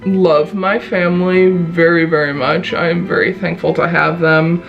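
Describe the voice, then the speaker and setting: A woman's voice: lowish voice, level tone, normal speed, creaky, muffled recording, faint music behind. A young woman from Chicago with a Midwestern accent, chatting casually and playfully while she does her makeup. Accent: Midwestern American. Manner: bubbly, playful, self-mocking. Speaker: video host.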